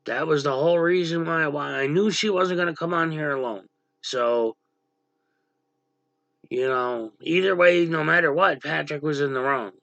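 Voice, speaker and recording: Gravelly man snapping at his livestream chat. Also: a little high, moderate pace, a bit bright, no room sound, dull audio. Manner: blunt, irritated, snaps at chatters. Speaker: livestream host. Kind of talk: casual livestream chat reading viewer comments. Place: dim room at home, power out.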